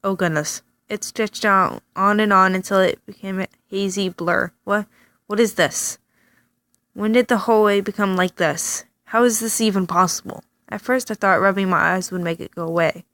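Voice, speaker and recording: A woman reading a story game aloud, with animated reactions and stammered asides. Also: medium pitch, normal speed, dry recording. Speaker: let's play reader.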